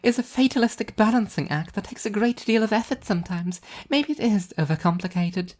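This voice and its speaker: A woman's voice: medium pitch, lively intonation, brisk, darkish tone, pitched above the performer's own voice, natural voice. A woman voicing a dignified, benevolent, self-important female angel of justice, grandly declarative yet conversational, in a story reading.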